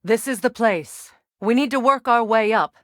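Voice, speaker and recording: An actress playing a proud, determined Norse goddess and Valkyrie queen in scripted video game dialogue, measured and composed in manner. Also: fairly high pitch, fairly bright tone, no echo, studio quality, close to an everyday voice. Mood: urgent, calling out.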